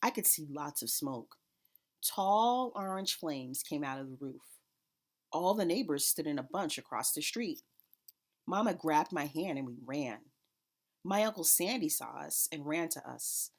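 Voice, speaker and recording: A woman reading a children's picture book aloud in an expressive storytelling manner. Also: sits low, a little slow. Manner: expressive, acts out characters' dialogue.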